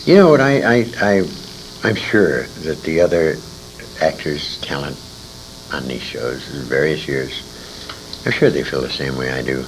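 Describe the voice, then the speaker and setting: A man with a gravelly voice reminiscing in an informal interview, earnest and emphatic in the way he talks. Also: a bass voice, expressive, unhurried. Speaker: interviewee, former radio show performer. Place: old-time radio convention. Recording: noisy amateur convention tape recording.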